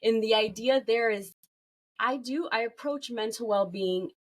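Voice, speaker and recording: A woman's voice: fairly high pitch, measured pace. A woman talking conversationally as a guest on a podcast interview. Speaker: podcast guest, workplace well-being consultant.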